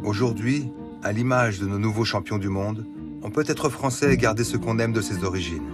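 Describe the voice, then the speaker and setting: A man narrating a documentary in a measured, grave voice-over. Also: lowish voice. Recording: loud music under the voice.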